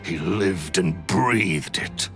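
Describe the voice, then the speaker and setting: A man voicing a bitter, greedy magician villain in a video game, with a gravelly, theatrical, scornful delivery. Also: low-pitched, extremely slow, exaggerated voice. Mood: bitter, resentful. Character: bitter, greedy middle-aged male magician's apprentice turned villain. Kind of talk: dramatic video game voice acting. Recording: loud game music behind the voice.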